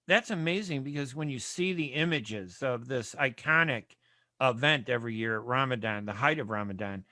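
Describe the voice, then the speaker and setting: Male radio host from the US, bright, forceful tone, dry close sound. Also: medium pitch, animated, moderate pace, dry recording. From United States. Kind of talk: conversational radio interview.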